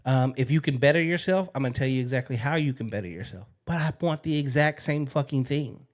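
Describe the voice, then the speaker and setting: A man's voice: mid-range voice, quick pace. A man hosting a podcast, talking in a frank, casual way and swearing freely. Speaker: podcast host. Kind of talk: casual interview chat.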